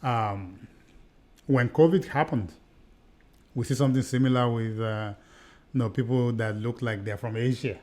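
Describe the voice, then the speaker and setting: A middle-aged man with a Nigerian accent, co-hosting a conversational podcast. He speaks at an ordinary pitch and tells his story earnestly, circling back and repeating phrases as he goes. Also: normal speed, long pauses, darkish tone. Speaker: podcast co-host.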